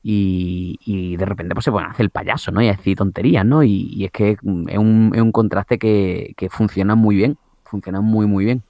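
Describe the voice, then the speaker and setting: A man's voice: fairly low pitch, moderate pace, hardly any pauses, warm tone, no echo, clean studio sound. A man from chatting casually, rambling with many fillers and restarts. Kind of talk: casual chat.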